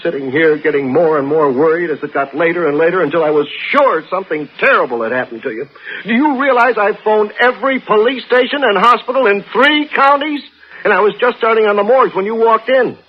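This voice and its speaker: A man's voice: high-pitched, a little fast, close to an everyday voice. An actor playing an overwrought, suspicious middle-aged father who blusters indignantly in a 1960s radio play. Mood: angry, accusing. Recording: dull, noisy old radio broadcast recording.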